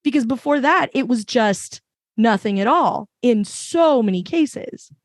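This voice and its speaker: Woman panelist, low voice with lingering roughness, emphatic and passionate, dry close sound. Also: animated, a little slow, no room sound. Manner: passionate, emphatic, long run-on points. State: recovering from illness, voice a bit rough. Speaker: podcast panelist. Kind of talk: casual panel discussion.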